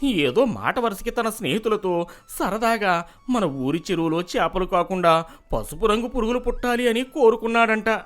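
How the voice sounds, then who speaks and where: A man voicing an alarmed, fretful village elder in an animated moral story, urgent and pleading. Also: sits high, normal speed, voice pushed up. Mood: panicked.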